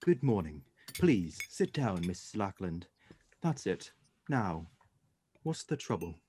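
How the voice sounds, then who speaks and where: A man acts out a courteous gentleman detective for a radio play. His voice is mellow and formal, moving up and down in lively swings, with a little noise behind it. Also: sits low, takes it slowly, exaggerated voice. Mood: courteous, attentive.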